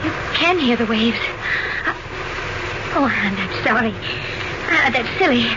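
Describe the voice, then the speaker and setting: Anxious high-voiced young bride, old radio. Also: female voice, animated. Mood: uneasy. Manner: hesitant, halting, insistent questioning. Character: anxious young bride haunted by first wife's death. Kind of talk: 1940s radio mystery acting. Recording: noisy, muffled old radio recording.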